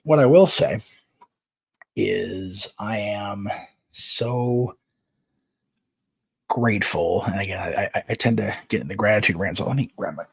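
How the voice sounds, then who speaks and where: A man's voice: fairly low pitch, unhurried, creaky, long pauses, husky. A man hosting his own live online show, chatting casually and keeping his voice down, with many fillers as he rambles. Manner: talks softly to keep quiet, meandering with many fillers. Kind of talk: casual live-stream chat. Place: indoors, away from home on a trip.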